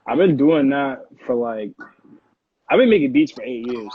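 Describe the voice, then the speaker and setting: A man's voice: mid-range voice, animated, moderate pace, husky. A man in his twenties with an American accent, chatting casually as an interview guest, with slangy, profane speech. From Connecticut, United States. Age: 20s. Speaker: interview guest, music producer. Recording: dull, roomy lo-fi call audio.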